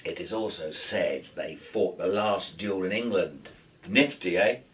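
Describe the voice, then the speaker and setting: A man voicing a talkative, wry, eccentric young man in his twenties for a storybook, with an animated, musing manner. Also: low voice, a little slow, far from the mic. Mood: friendly. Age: young adult. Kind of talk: audiobook character voice.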